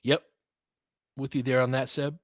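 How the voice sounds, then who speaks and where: A man's voice: medium pitch, level tone, normal speed, no room sound. A man with a mid-range voice hosts a live basketball call in a casual, chatty way. He mixes play-by-play with opinionated asides. The sound is dry, with very little room on it. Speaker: play-by-play commentator and host. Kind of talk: casual live basketball commentary with fan chat.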